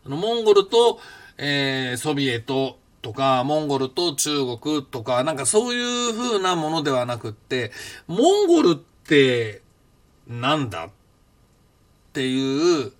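An older Japanese man hosting a reflective monologue, musing aloud in a rambling way with many fillers and restarts. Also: on the high side. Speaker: podcast host recounting his past.

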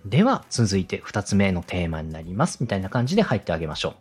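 A man's voice: low-pitched, very animated, quick pace, darkish tone, husky. A man hosting a show, politely giving step-by-step advice on presenting and slipping in example phrases. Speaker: radio director and podcast host giving advice.

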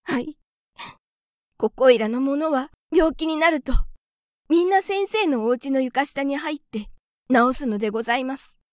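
Woman doing a high tearful mother mouse. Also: measured pace, no echo, studio quality. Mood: polite explaining. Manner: pleading, very polite.

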